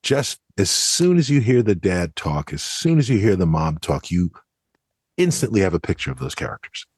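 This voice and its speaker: Low-voiced male co-host with lively intonation. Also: normal speed, husky, no room sound, clean studio sound. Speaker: podcast co-host. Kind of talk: conversational podcast commentary.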